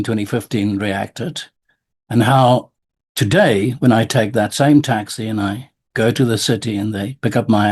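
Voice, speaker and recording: A man's voice: lowish voice, husky. A man with a South African accent hosting a podcast, telling a story and thinking aloud as he builds up to a question. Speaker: podcast host. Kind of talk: conversational interview. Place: lives abroad, recording remotely.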